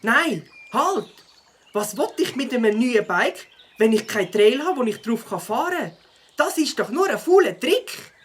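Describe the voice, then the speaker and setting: Plucky husky young beaver kid. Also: female voice, a little high, big pitch swings, measured pace, exaggerated voice. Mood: indignant. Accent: Swiss German. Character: Bike Biber, plucky determined child beaver hero. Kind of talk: children's audio drama acting.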